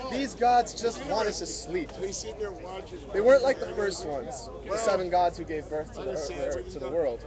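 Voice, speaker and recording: A man's voice: high voice, animated, unhurried. A man reading a story aloud to a live group, in an interactive storytelling style. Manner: prompts listeners to fill in words. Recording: outdoor live mobile stream, music and crowd. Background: music, crowd chatter, street sounds.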